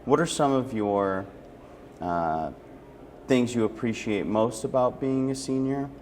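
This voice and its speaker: A man's voice: medium pitch, a little slow, darkish tone, slight background noise. A man hosting a friendly conversational interview in an encouraging, affirming manner. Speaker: interviewer and host.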